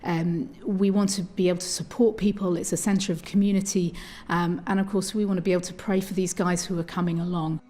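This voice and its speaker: A woman answers in a news interview, speaking for her church in her own words. Her voice sits a little low for a woman. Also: level tone, brisk, hardly any pauses, mellow. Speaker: church representative being interviewed.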